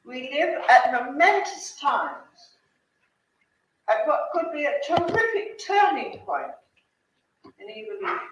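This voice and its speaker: A woman who pioneered the vegan movement, speaking slowly and earnestly in an old archive film clip. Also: sits high, sing-song. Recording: distant, echoey, dull old film audio with faint noise.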